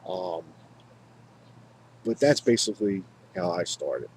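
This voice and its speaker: An American man talking casually and unscripted to viewers as a hobbyist, in a halting, rambling way, often repeating himself. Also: deep voice, lively intonation, darkish tone, slight background noise. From United States. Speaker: hobbyist video maker.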